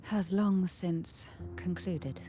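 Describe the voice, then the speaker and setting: An actress voicing an elegant, composed noblewoman in a video game, with measured, formal and softly breathy delivery. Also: on the low side, fairly even intonation, a little hiss or hum. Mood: grave, ominous. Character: elegant, composed, enigmatic noblewoman of a powerful family. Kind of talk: dramatic video game voice acting. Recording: music behind the voice.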